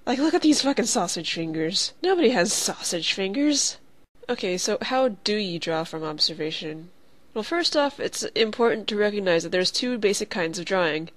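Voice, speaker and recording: A woman's voice: medium pitch, animated, moderate pace, dry recording. A woman with a stuffy, cold-stuffed voice, hosting a casual art tutorial, chatty and profane, with lots of "like". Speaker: art channel host.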